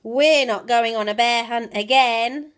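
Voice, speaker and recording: A woman with a high voice reads a picture book aloud for young children. Her delivery is very unhurried, with drawn-out words and animated expression, like a teacher telling a bedtime story. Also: clean tone. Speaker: primary school teacher reading a bedtime story.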